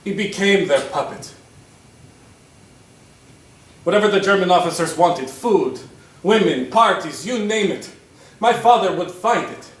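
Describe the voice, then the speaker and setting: An actor playing an angry, bitter prisoner in a stage play, with an intense, dramatic delivery. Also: male voice, high-pitched, lively intonation, unhurried, pauses often. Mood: bitter remembrance. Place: theatre stage, recorded from a distance. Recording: echoey stage, distant microphone.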